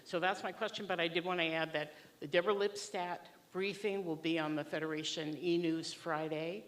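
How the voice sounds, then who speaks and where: A woman from a community organization asking an earnest, measured question on a microphone at a public Q&A. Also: fairly low pitch, steady tone. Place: synagogue hall. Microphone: handheld microphone.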